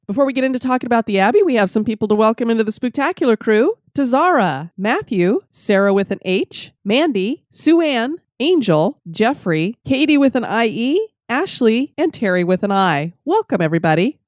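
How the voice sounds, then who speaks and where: A woman's voice: a little high, sing-song, normal speed, hardly any pauses, dry recording, clean studio sound. A middle-aged woman with a stuffy summer cold reads her scripted podcast narration as host.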